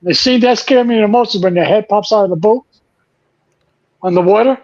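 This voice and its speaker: A man's voice: sits high, a little fast, darkish tone, no room sound, highs cut off. A man co-hosting a podcast, chatting casually about movies, joking and swearing a lot and laughing often. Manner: profane, jokey, laughs often. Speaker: podcast co-host.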